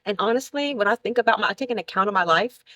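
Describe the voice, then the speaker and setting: A woman's voice: medium pitch, lively intonation, rapid-fire, bright, forceful tone. A woman in her late thirties telling blunt, laughing stories as a guest in a candid casual talk show chat. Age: 30s. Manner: brash, laughing, blunt storytelling.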